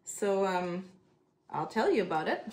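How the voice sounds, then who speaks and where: A woman's voice: medium pitch, big pitch swings, a little slow, darkish tone, a little hiss or hum, rough recording. A woman from Germany with a German accent, chatting casually about her plants as she works on them at home, with lots of ums and "kinda". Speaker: plant care vlogger. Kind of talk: casual chat while doing plant chores.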